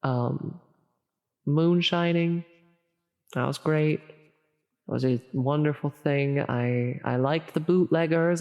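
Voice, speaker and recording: A woman's voice: low voice, dark tone. A woman playing a flustered, well-meaning female demon tempter in an ASMR audio roleplay, hesitant and stammering as she coaxes. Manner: hesitant, halting, stammering, coaxing. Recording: long reverberant echo on the voice.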